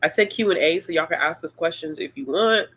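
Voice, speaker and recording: A woman hosting a casual live-stream Q&A, talking in a relaxed, rambling and playful way. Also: fairly low pitch, brisk, husky. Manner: laid-back, rambling, playful. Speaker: booktuber host of a live Q&A.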